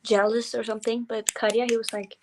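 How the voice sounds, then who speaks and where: Norwegian woman, 20s, guest artist, talkative and excitable, slightly high voice. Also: a little fast, noisy background. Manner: chatty and giddy, with many fillers and restarts. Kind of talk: casual interview chat.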